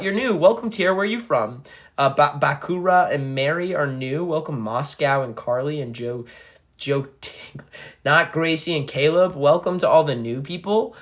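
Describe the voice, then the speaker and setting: A man's voice: fairly high pitch, fairly bright tone. A young man who fronts a band, chatting casually on a livestream and reading fan comments aloud.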